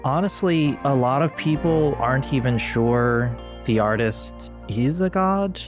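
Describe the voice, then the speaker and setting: A man narrating a tabletop actual play as game master, with measured, deliberate storyteller phrasing. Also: mid-range voice, over music.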